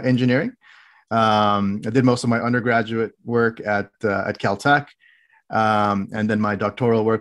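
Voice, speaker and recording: A man from the Middle East talking as a podcast guest about his engineering background, explaining in detail and restarting his phrases often. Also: fairly low pitch. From grew up in the Middle East. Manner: explanatory, many restarts and fillers, precise technical wording. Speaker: guest, health tech director. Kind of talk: casual interview talk.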